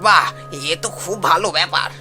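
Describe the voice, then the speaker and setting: A man voicing the ghost of a humble village carpenter and doting father, polite, plaintive and earnest, in an animated horror story. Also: sits high, a little fast, exaggerated voice. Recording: music behind the voice.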